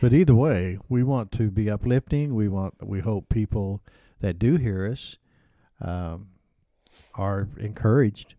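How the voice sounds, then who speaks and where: A man's voice: lowish voice, a little slow, dark tone, no echo. A man co-hosting a church podcast in casual chat, folksy and self-deprecating, often restarting his sentences. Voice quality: says he sounds like a hick. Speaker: podcast co-host.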